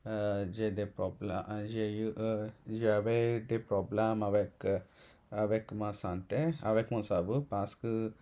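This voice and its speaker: Slow, halting man learning French. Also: lowish voice, flat delivery, mellow. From India. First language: Telugu. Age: 20s. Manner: halting, many fillers and self-corrections. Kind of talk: casual unscripted monologue.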